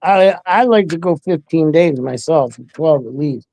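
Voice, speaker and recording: A man co-hosting a live online panel, talking in a relaxed, hesitant way that often trails off. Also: on the high side, a little slow, dry recording. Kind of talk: casual live panel chat.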